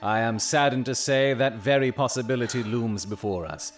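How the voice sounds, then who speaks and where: An actor playing a coolly analytical Victorian gentleman detective in an audio drama, speaking precisely and formally with dry authority. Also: medium pitch, moderate pace, faint music behind, lightly acted. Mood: commanding. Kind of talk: period audio drama acting.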